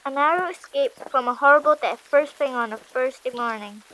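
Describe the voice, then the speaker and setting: A woman's voice: high-pitched, fairly quick, a bit bright, poor audio. A woman voicing a gloomy, sarcastic young Viking boy who complains bitterly in an audiobook drama. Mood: bitter complaint. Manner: bitter, weary, miserable complaining. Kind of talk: audiobook drama character acting.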